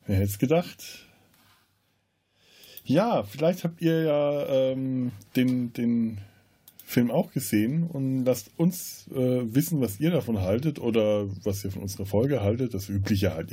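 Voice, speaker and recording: A man's voice: mid-range voice, animated, takes it slowly, pauses often, mellow, slightly rough. A man chatting casually and wryly as a podcast host. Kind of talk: casual chat.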